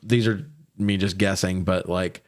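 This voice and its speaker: Deep-voiced man casually recapping a movie. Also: steady tone, measured pace. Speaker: podcast co-host reviewing movies. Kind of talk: casual profane comedic movie recap chat.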